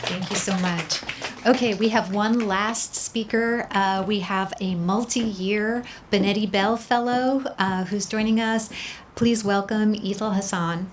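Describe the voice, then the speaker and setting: A woman hosting a small event in a home, briskly introducing the next speakers. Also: mid-range voice, steady tone, heavy background noise. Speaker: event host. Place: private home.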